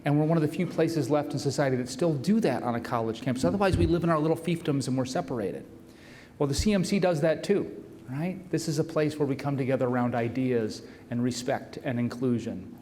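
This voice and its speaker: A man's voice: medium pitch, a little fast, warm tone. Man speaking in a large echoing hall. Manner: conversational, earnest speaker. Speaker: university president. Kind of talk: formal welcome speech. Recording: roomy hall reverb, faint noise.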